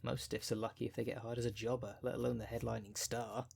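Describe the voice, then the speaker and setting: A man's voice: mid-range voice, little pitch movement, quick pace, gravelly, a little mellow, slightly rough. A man playing a wry, caring, foul-mouthed necromancer who coaches a zombie fighter in a casual, chatty ASMR audio roleplay. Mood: consoling, encouraging. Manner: chatty, colloquial, wry, consoling.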